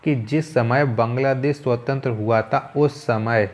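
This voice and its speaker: A man's voice: mid-range voice, fairly even intonation, measured pace. A man teaching an exam quiz lesson, methodically reading out questions and repeating key answers.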